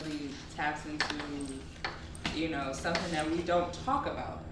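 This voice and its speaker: A woman's voice: low-pitched, fairly even intonation, normal speed. A woman giving earnest, casual feedback in a group discussion. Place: indoor room at a group table read. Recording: very echoey room, distant mic, noise.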